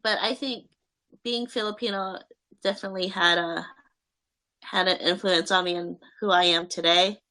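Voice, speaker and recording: A woman's voice: on the low side, steady tone, moderate pace, sharp tone. A young woman answering interview questions in a casual, hesitant way, with many fillers and restarts.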